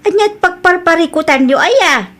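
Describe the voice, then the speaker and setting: A woman's voice: extremely high pitch, animated, moderate pace, fairly bright tone. A woman playing a motherly, plain-spoken older village storekeeper in an audio drama, with an Ilocano accent. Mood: concerned.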